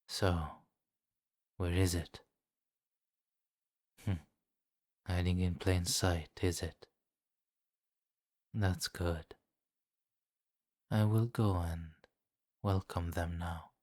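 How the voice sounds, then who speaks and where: A man playing a suave, commanding, centuries-old vampire king in an ASMR fantasy roleplay, speaking formally and deliberately with quiet menace. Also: low voice, steady tone, no echo, studio quality. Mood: quietly scheming. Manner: formal, deliberate, softly imperious.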